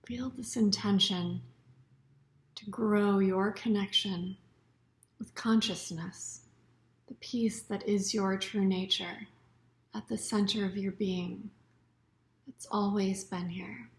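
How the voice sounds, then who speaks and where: Woman softly guiding a relaxation meditation. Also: medium pitch, steady tone. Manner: soothing, unhurried, with soft breathy phrase endings. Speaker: yoga nidra meditation teacher.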